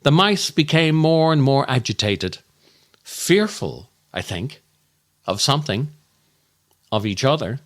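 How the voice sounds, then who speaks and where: A man delivering a scripted comic monologue as a storyteller, with dramatic, deliberate and darkly comic delivery. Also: mid-range voice, sing-song. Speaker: comedian and podcast host.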